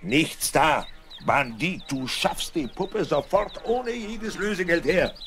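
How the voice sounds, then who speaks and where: A man's voice: fairly high pitch, lively intonation, takes it slowly, a bit bright, a little hiss or hum, heavily acted. A man playing a grown-up country relative in a children's radio play, playful and kindly but firm. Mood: stern.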